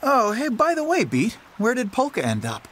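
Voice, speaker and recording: A man's voice: extremely high pitch, huge pitch swings, normal speed, a bit bright, a little hiss or hum, heavily acted. A man playing a brash, sarcastic teenage boy in a video game, with a casual, grumbling delivery. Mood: eager. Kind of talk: English video game voice acting.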